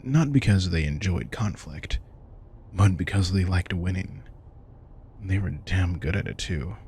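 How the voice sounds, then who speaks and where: A man's voice: low-pitched, expressive, measured pace, darkish tone, a little hiss or hum. A man playing a centuries-old vampire king, wry and protective, talking casually and intimately to the listener in an audio roleplay. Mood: fond, nostalgic. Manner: casual, reflective, swearing, addresses listener directly. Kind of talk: intimate scripted audio roleplay, conversational monologue.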